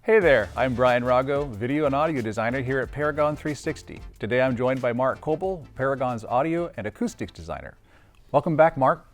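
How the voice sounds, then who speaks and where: A man's voice: medium pitch, expressive, brisk, faint music behind. Male presenter, mid-range voice, scripted promotional interview, host role. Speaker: host, video and audio designer.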